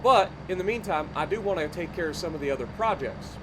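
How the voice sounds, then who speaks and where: Man, stuffy nose, nasal, slightly high voice, relaxed gameplay commentary, noise behind. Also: quick pace. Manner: easygoing, thinking aloud with fillers. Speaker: gaming YouTuber. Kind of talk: casual let's-play commentary.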